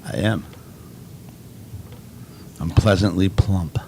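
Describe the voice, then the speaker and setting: A man's voice: sits low, slow, creaky, slight background noise. A man co-hosting a live web show, trading crude, mocking jokes in casual banter. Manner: loud, mocking, crude joking.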